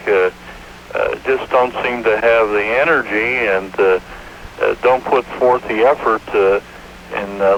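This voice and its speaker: A man with an American accent giving interview answers haltingly, searching for his words. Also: fairly low pitch, fairly bright tone. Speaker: interviewee, veterans' organization official. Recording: dull hissy AM radio interview clip.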